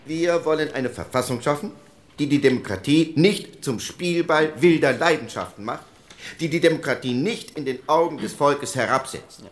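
A man's voice: on the high side, moderate pace, lightly acted. A man playing an earnest post-war constitutional delegate, arguing in formal, rhetorical parliamentary speech. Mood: earnest, persuasive.

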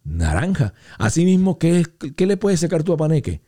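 A man from Venezuela hosting a podcast, chatting in a relaxed way on a studio microphone and reading out listener messages. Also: fairly high pitch, normal speed. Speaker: podcast host. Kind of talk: casual podcast chat.

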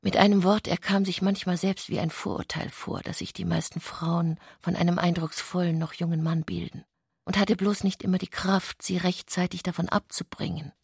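A woman narrates a literary radio play in a measured, deliberate way. Her voice sits on the low side, with a husky, creaky grain. The sound is dry and close. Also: fairly even intonation, a little mellow, no room sound.

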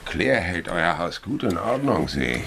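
An old police inspector in a radio drama, very low creaky voice, swings around a lot, sly and dry. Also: male voice, sing-song, noisy background, exaggerated voice. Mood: calm, dry. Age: older. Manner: musing, deliberate, dryly ironic. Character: sly, leisurely police inspector at the end of his career. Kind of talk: radio play acting.

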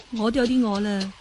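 Casting: young Cantonese swordswoman, high voice, polite and spirited, radio drama delivery. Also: slight background noise.

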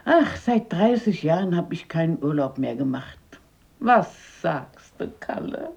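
A woman playing a fussy, determined, affectionate old grandmother in a radio drama, insistent and husky. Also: lowish voice, very animated, darkish tone, lo-fi sound, full character voice. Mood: pleased. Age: older. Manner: brisk commands, fussing, insistent.

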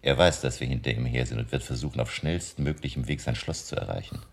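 An actor in a German radio drama, playing a grave, resolute professor who leads a vampire hunt. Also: male voice, a bass voice, fast. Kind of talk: German radio play acting.

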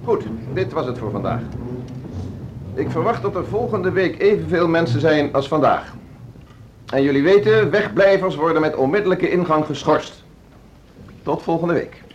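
An actor playing an ambitious, stubborn orchestra conductor in a naturalistic radio drama, brusque, self-assured and dismissive. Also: male voice, fairly high pitch, expressive, moderate pace, pauses often, a little hiss or hum, lightly acted. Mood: brisk, stern. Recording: dull, muffled radio play recording.

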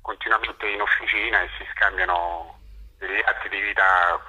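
A man with a Roman accent speaks in a low, very sharp and forceful voice with a husky grain. He talks casually as an interview guest, often restarting and repeating words as he searches for phrasing. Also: fairly even intonation, poor audio. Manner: halting, self-correcting, repeats words.

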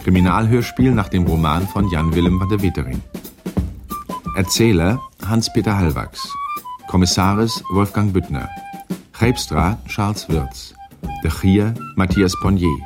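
Male closing announcer, formal and scripted, deep voice with varied melody, music playing behind. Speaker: announcer reading radio play credits.

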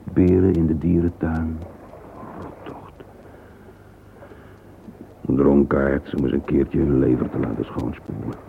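An actor playing a wistful middle-aged man lost in thought, murmuring a halting interior monologue in a radio play. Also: very deep voice, steady tone, gravelly, heavily acted. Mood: nostalgic. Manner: murmuring, halting, fragmentary musing, sometimes whispered. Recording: narrow-band lo-fi old radio recording, hissy.

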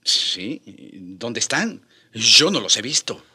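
Elderly stubborn bishop, radio drama, heartfelt, slow, forceful. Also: male voice, mid-range voice, big pitch swings, slightly rough, exaggerated voice. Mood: indignant, concerned. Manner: emotional, hesitant repetitions, pleading. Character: elderly impassioned Spanish friar bishop, devout, stubborn, deeply feeling.

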